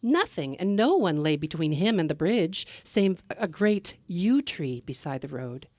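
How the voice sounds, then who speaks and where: Measured, low-pitched woman storyteller. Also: dry recording. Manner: expressive, measured delivery with character voices. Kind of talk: live dramatic storytelling narration.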